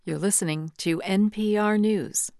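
A woman newscaster reads the headlines in a crisp, measured way. Her voice sits at an ordinary middle pitch. The studio sound is close and dry. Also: dark tone, no echo. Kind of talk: news bulletin reading. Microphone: studio mic.

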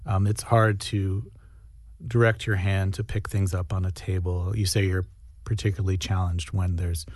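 A man interviewing an author, asking questions in a gentle, sympathetic way. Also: low voice, level tone, normal speed, no echo, studio quality.

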